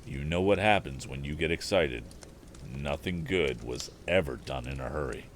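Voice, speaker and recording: Storybook part: sensible elderly dad, voiced by a man, deep, measured, gently admonishing. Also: a little hiss or hum. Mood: cautioning. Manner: slow, cautioning. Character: cautious older father, slow and sensible.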